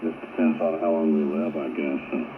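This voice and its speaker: An American man chatting casually as an amateur radio operator over shortwave, speaking in a halting, pondering way. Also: extremely low pitch, steady tone. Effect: shortwave single-sideband radio transmission. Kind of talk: casual on-air radio chat. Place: heard through a shortwave receiver speaker. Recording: narrowband shortwave radio with static noise.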